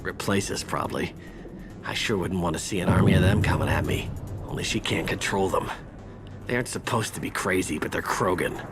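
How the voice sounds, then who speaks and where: An actor playing a wounded, frightened mercenary grunt in a video game, bitter and grumbling through pain, stammering and defensive. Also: male voice, deep voice, fairly quick, loud music behind the voice. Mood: grudging, nervous. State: in pain, bleeding.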